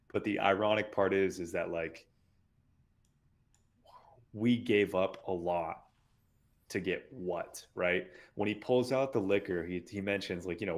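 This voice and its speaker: A young man co-hosting a podcast, thoughtfully analyzing a show's themes with frequent "like"s. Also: sits low. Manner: thoughtful, explains with many "like"s. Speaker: podcast co-host. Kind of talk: casual analytical discussion.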